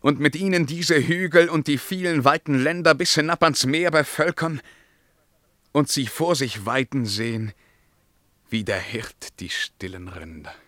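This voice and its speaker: A male actor playing a brooding, lyrical emperor in a radio play, reciting verse solemnly and swinging between hushed murmurs and ringing outbursts. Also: a little high, measured pace, no echo, clean studio sound. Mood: exalted musing.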